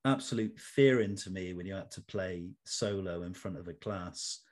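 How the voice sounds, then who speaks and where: Guy interviewer, deepish voice, formal prepared questions, kinda wordy. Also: moderate pace, a little mellow. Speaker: interview host.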